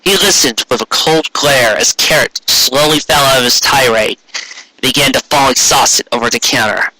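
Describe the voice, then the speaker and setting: A man's voice: mid-range voice, moderate pace, bright, forceful tone, low-quality recording. A man narrating a fan fiction story in a dramatic amateur reading style.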